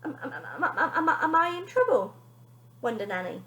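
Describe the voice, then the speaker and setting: A woman's voice: sits high, sing-song, normal speed, fairly bright tone, reverberant, voice pushed up. A woman voicing a timid, cowardly little man in a storybook, spluttering, stammering and tearful. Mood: fearful.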